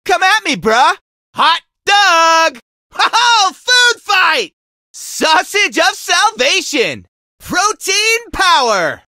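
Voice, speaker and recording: A man's voice: very high-pitched, very animated, drawn-out words, bright, forceful tone, no echo, studio quality, heavily acted. A man voicing a goofy, laid-back surfer-dude lifeguard mascot in a video game, with a slangy drawl. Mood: excited. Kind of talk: cartoony video game voice lines.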